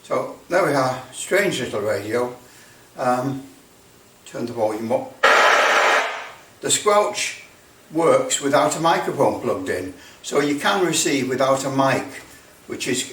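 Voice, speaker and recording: Guy in his 60s explaining stuff at his workbench, kinda rambly and halting, mid pitch, echoey room, bit far from the mic. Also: fairly bright tone. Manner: rambling, halting, thinking aloud. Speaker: radio repair video maker. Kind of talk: casual explanatory demonstration. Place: small indoor workshop with block walls. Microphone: camera mic at a distance. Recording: roomy, distant mic, background noise.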